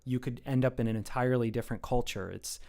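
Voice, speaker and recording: An American man chatting casually on a live art stream, thinking out loud as he talks. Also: medium pitch, steady tone, fast talker, vocal fry. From United States. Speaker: streaming artist. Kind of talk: casual chat while drawing on stream.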